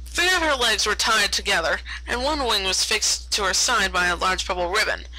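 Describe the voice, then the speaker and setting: A woman's voice: medium pitch, moderate pace, bright, forceful tone, low-quality recording. A woman narrating a story aloud as an amateur reader, often stumbling over words and correcting herself. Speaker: amateur fan fiction reader.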